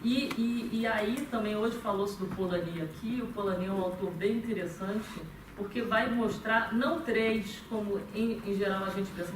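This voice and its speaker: A woman with a Brazilian Portuguese accent giving a thoughtful talk at a meeting, pausing often on fillers and restarting her phrases. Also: medium pitch. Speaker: conference speaker. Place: meeting room. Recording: echoey room, far from the mic, noisy.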